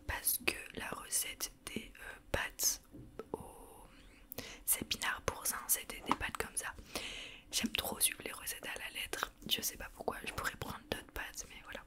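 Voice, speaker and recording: A young woman whispering a casual grocery haul for ASMR, chatty and self-mocking with plenty of hesitations. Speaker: ASMR vlogger.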